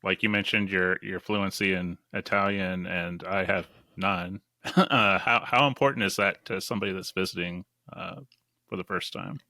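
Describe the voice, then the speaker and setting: A man with a low, gravelly voice and a forceful, bright edge asks curious, hesitant questions. He sounds close and dry. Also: moderate pace, no echo. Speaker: editor, co-host. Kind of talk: casual podcast conversation.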